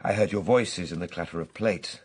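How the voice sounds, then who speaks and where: An actor playing a weary, intense Victorian gentleman time traveller, telling his tale dramatically in short, halting phrases for a radio drama. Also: low-pitched, slightly rough. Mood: reflective. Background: music.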